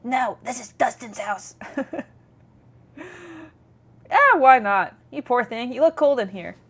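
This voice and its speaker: High-pitched woman playing through a game. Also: lively intonation, takes it slowly, a bit bright. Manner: playful, chatty. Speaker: video game streamer. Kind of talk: let's play, reading narration aloud with casual commentary.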